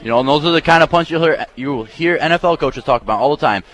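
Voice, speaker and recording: A man's voice: fairly high pitch, fast, a bit bright. An American man giving casual, conversational color commentary and analysis on a live football radio broadcast. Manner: casual, explanatory.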